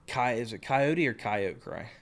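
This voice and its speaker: A man's voice: medium pitch, lively intonation, measured pace, gravelly, a bit bright. A man hosting a podcast, asking questions casually and responding with eager enthusiasm. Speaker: podcast host.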